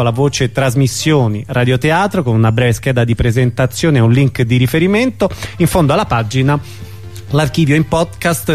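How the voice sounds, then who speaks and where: A man hosting a radio programme, presenting and interviewing guests. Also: a little high. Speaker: radio host.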